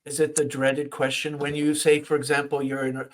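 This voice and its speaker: A man's voice: fairly high pitch, completely flat delivery, a little fast. A man hosting a podcast, interviewing guests with long rambling questions. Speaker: podcast host.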